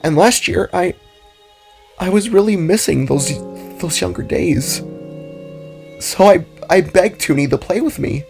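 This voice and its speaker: A man's voice: high voice, unhurried, vocal fry, pauses often, faint music behind, pitched above the performer's own voice. A man voicing a kind young female animal character in a dramatic story, hesitant and sad as she speaks. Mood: regretful confession. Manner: soft, hesitant, stammering, comforting.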